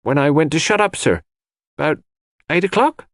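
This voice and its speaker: Audiobook casting: excitable young maidservant played by a man, pitched high, deferential and flustered, dry recording. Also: moderate pace, no echo. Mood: deferential, eager.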